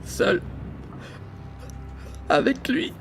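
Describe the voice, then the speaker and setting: Scared lonely sailor, halting anxious speech, audio drama, prominent music underneath. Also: male voice, fairly bright tone. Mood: weary dread. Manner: halting, anxious, breathy repetitions. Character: frightened, isolated young seaman keeping a logbook.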